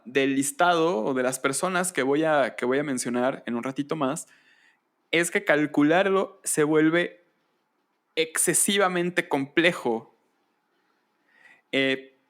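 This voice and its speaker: A man hosting a podcast, explaining history conversationally in a deliberate way, with pauses and rhetorical questions. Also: on the high side, a bit bright. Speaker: podcast host.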